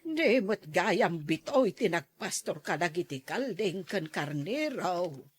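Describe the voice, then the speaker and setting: A woman playing a concerned older village mother with a husky voice in a Filipino audio drama. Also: on the low side, sing-song, takes it slowly, no room sound, heavily acted.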